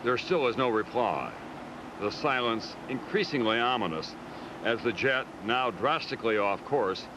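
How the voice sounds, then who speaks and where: A man reading scripted news documentary narration in a measured, dramatic way. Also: mid-range voice, a bit bright. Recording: dull audio with faint background noise.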